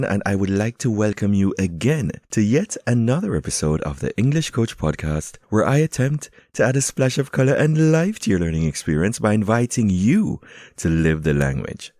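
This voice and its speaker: A deep-voiced man hosting a podcast, his pitch swinging widely as he talks. He chats playfully with a student, then moves into a polished show introduction. His tone is mellow and the sound is dry and close. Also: dry recording. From Jamaica. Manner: lively, playful, switches between English and German. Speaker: podcast host and English teacher.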